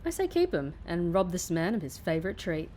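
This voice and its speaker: A woman's voice: on the low side, very animated, a little fast, darkish tone, slight background noise. A woman playing a wry, stubborn agent recovering from injury in an audio drama, with a dry, defiant manner. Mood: teasing.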